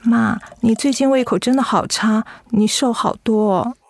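An actress playing a caring, anxious young daughter in a radio drama, speaking earnestly and solicitously. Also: female voice, fairly high pitch, moderate pace. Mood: coaxing, concerned. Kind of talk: Mandarin radio drama acting.

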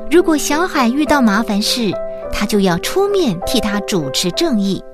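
A woman narrating a children's school radio play in Taiwanese Mandarin, expressive and addressed to young listeners. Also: fairly high pitch, normal speed. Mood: gentle storytelling. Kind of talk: scripted children's story narration. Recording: music behind the voice.

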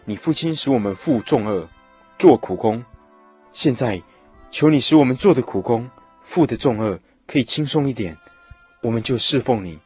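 Man acting a husky, earnest petitioner. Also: medium pitch, lively intonation, normal speed, darkish tone, soft background music. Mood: earnest pleading. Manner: formal, deferential. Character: earnest, respectful male leader petitioning his king. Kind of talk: Bible radio drama acting.

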